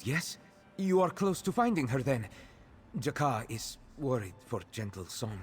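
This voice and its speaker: An actor voicing a gentle, earnest, protective male cat-folk creature in a video game, with a measured manner. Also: lowish voice. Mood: hopeful, hesitant. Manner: speaks of self in third person, measured. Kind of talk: video game character dialogue. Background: game music.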